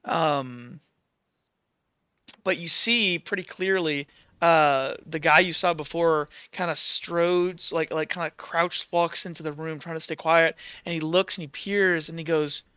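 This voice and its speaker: A man narrating a tabletop role-playing game as game master, improvising in a casual, halting way. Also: a little high, fairly even intonation, sharp tone, clear, resonant tone, no echo, lo-fi sound. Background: faint music.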